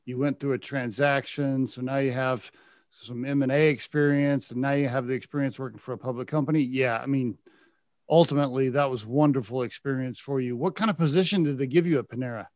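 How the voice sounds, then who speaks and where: A man's voice: mid-range voice, fairly even intonation, brisk, a little mellow, no room sound. A man hosting an interview, asking leading questions and affirming the guest's answers. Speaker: podcast host.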